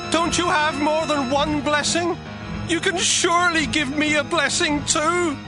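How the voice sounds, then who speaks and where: An actor playing a hot-tempered, wronged firstborn son, emotional and pleading, in a dramatized scripture story. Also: male voice, very high-pitched, steady tone, measured pace, over music, poor audio. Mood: anguished pleading. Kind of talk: dramatized scripture reading with music.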